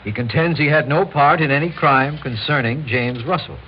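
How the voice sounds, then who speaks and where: A man's voice: mid-range voice, normal speed, natural voice. An American man acting a principled, defiant lawyer in a mid-century radio drama, speaking formally and emphatically. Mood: formal, insistent. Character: Jay, principled, defiant lawyer confronting vigilantes. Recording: muffled old radio recording with faint noise.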